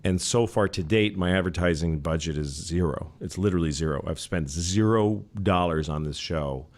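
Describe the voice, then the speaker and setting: Rambling mid-forties man, lowish voice. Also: normal speed, darkish tone. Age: 40s. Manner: rambling, self-interrupting, with sports analogies. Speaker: comedian guest. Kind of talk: casual podcast conversation.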